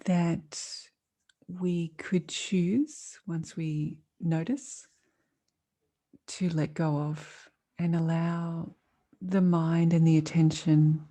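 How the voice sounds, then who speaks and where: Female meditation teacher, soft mellow tone, unhurried and calm, long pauses between phrases. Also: sits low. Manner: calm, soothing, measured delivery with long pauses. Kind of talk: guided meditation.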